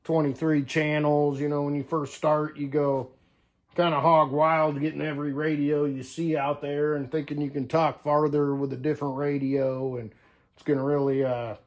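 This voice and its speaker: A man's voice: on the high side, little pitch movement, normal speed. A man talking casually to viewers in a folksy, unscripted way, thinking aloud as he tinkers through a hands-on demonstration. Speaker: hobbyist CB radio vlogger.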